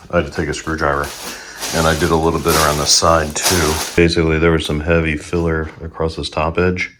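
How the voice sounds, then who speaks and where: Very deep-voiced man explaining DIY work. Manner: relaxed, plain step-by-step explaining. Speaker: DIY vlogger. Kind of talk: casual how-to narration while working. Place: small indoor room at home. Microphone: handheld phone camera. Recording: sanding and scraping noise behind.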